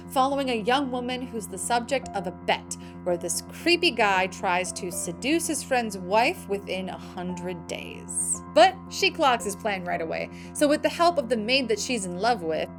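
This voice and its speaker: Animated, high-voiced woman reading entertainment news. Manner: playful, emphatic, slangy asides. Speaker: host of a film news show. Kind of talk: scripted entertainment news narration.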